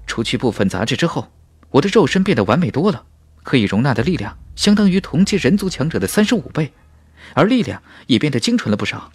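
A man's voice: mid-range voice, sing-song, fast talker, slightly rough, voice pushed up. A man voicing a confident, ambitious young warrior in a fantasy audiobook, with an emphatic, dramatic delivery. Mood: pleased, excited. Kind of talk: audiobook character speech and inner thoughts.

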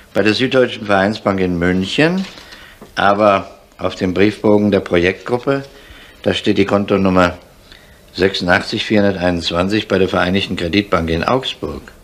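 An actor playing a gruff, sceptical older inspector in a vintage crime drama, questioning people in a dry, matter-of-fact way. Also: male voice, on the low side, moderate pace, a bit bright. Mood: probing. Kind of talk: 1970s German television crime drama acting. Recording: noisy vintage drama soundtrack.

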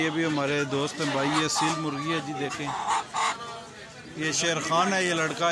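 A man with a Pakistani Urdu accent touring an outdoor bird market on his phone, chatting casually and asking prices politely. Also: on the high side. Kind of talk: casual walking vlog with price haggling. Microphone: phone camera held while walking. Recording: loud street noise and bird chirps behind.

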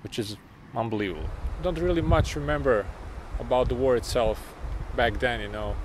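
A man's voice: medium pitch, normal speed. A young man from Bosnia and Herzegovina, a native Bosnian speaker, speaking thoughtfully in a documentary interview, often repeating words. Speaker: band drummer. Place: outdoors by a graffiti wall. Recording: outdoor noise behind.

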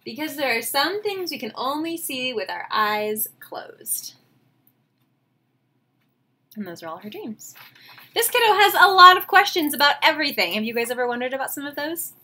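A woman, reading a picture book aloud to children with expressive, enthusiastic, chatty asides. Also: high-pitched, normal speed, slight background noise. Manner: expressive, enthusiastic, questioning the listeners. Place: small home room, indoors.

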